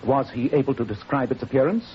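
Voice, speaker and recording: An actor playing a confident, courteous detective in 1940s radio style, with a precise British manner of speech. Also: male voice, mid-range voice, animated, fast talker, natural voice. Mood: keen, probing. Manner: precise, assured, formal. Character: famous male detective, keen, confident and courteous. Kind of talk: 1940s radio drama acting. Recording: dull, hissy old radio recording.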